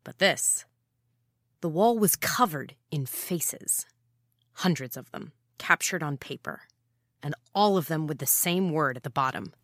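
A woman playing a wry, guarded private eye, narrating and talking in a deadpan, sardonic way in a noir audio drama. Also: deep voice, measured pace, dry recording, studio quality, full character voice. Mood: somber, reflective. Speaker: narrator and lead character.

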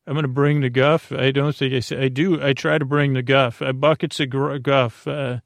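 A man hosting a sleep podcast, with a creaky, gravelly voice, rambling in a meandering, halting monologue that often stops and restarts. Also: medium pitch, level tone, dry recording. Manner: meandering, halting, self-deprecating.

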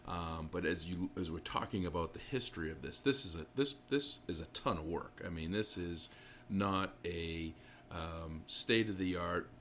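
A man with a very deep voice conducts a relaxed, chatty interview. He is generous with praise as he leads up to his questions. There is some light noise behind him in the pub. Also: moderate pace. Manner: effusive, complimentary. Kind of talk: casual conversational interview. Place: small pub off an inn lobby. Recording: noticeable background noise.

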